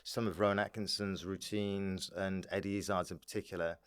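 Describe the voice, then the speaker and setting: A man's voice: sits low, little pitch movement, a little fast, clean tone. A British man talking casually as a podcast guest, rambling along and prone to chuckling. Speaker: podcast guest, stand-up comedian. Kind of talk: casual chat.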